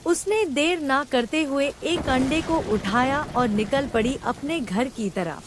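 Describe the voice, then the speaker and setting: A woman's voice: high-pitched, unhurried, noisy background. A woman narrating a scripted cartoon children's story as the storyteller.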